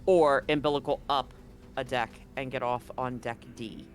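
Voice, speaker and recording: A man's voice: medium pitch, steady tone, moderate pace. A man working as game master, narrating a tabletop roleplaying game in a conversational, explanatory way. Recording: music playing under the voice. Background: background music.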